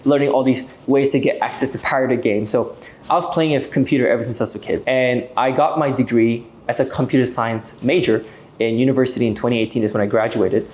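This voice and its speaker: A man around thirty from Malaysia giving an explanatory business pitch to camera, direct and persuasive, often checking in with short tags. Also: fairly even intonation, brisk, darkish tone, a little hiss or hum. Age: late 20s to early 30s. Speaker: video host and AI agency owner.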